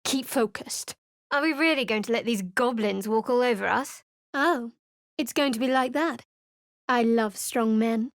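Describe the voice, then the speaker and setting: A woman's voice: on the high side, unhurried, dry recording. A woman voicing a guarded, sardonic young cleric adventurer in a video game, speaking with dry, cutting wit and cool reserve. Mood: wry.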